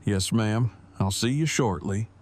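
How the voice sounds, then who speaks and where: A male actor plays a pedantic, devoted groom in an audio drama. His voice sits fairly low. He talks in long, formal, stilted sentences, choosing elaborate words for simple things. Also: a little slow, darkish tone. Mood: fond, formal. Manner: stilted, wordy, formal phrasing.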